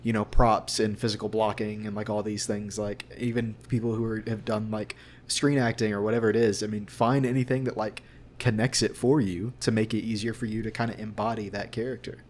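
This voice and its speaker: A young man with a fairly low voice talks casually as a guest in an interview. He rambles and hesitates, gently putting himself down. Noticeable background noise sits behind his voice. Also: flat delivery, brisk. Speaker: voice actor guest.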